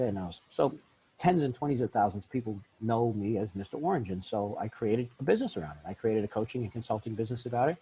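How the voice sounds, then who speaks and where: Husky-voiced man, animated storyteller. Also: rough recording. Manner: acts out quoted voices with big pitch jumps. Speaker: guest, life coach. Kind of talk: animated storytelling in a podcast interview.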